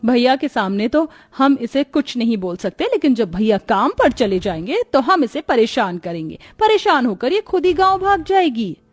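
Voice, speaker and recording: Woman as a high-voiced, meddling young sister. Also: sing-song, moderate pace, hardly any pauses, faint music behind. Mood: plotting. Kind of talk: melodramatic storybook dialogue.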